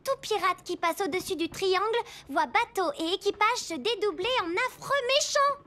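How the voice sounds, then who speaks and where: A woman's voice: very high-pitched, animated, moderate pace, pushed and bright, exaggerated voice. A woman voicing a plucky young girl pirate captain in a French cartoon, exclamatory and commanding. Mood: explaining. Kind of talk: French animated series voice acting. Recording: music under the voice.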